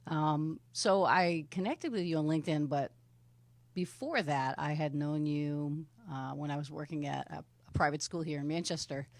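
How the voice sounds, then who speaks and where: A woman's voice: sits low, steady tone, normal speed, no room sound. A middle-aged woman hosting an interview show, chatty with rambling lead-ins. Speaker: host.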